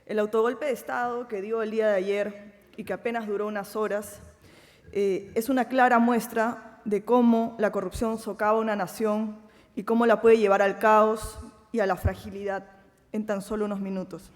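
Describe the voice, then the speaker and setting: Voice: medium pitch, level tone, brisk. A panelist from Peru with a Peruvian Spanish accent, giving a formal conference speech in a solemn, emphatic way. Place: large conference hall. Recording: long hall reverb.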